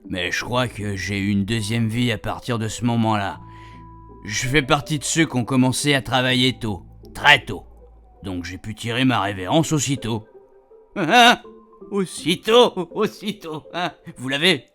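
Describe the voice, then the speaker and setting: A man's voice: mid-range voice, expressive, a little slow, pushed and bright, soft background music. A man playing a chatty, cheeky retired grandpa who rambles colloquially through a radio fiction monologue. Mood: cheeky, reminiscing. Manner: rambling, colloquial, repeatedly checking his puns landed. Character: chatty retired grandpa, cheeky, self-amused, homespun storyteller.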